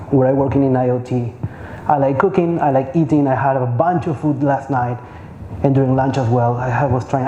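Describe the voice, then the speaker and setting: A man's voice: fairly high pitch, warm tone, slight background noise. A man, giving an informal conference talk and often repeating words as he searches for them. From Peru. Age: 30s. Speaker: conference speaker. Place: large conference hall. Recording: echoey hall with faint ambience.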